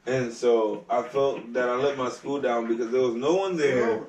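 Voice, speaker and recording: A man's voice: medium pitch, moderate pace, a little mellow. A man from Ohio telling stories and teasing his friends in a casual podcast chat. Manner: joking, teasing, storytelling. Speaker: podcast co-host, band member. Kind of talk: casual banter among friends. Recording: roomy, distant mic with faint hiss.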